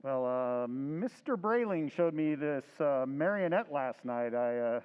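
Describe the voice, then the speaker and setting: An actor playing a nervous, scheming middle-aged husband in an old-time radio drama recreation, hesitant and stammering. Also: male voice, fairly high pitch, animated, slow pace, clear, resonant tone, long reverb tail. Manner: hesitant, full of uh's and stammers. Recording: dull, lo-fi sound.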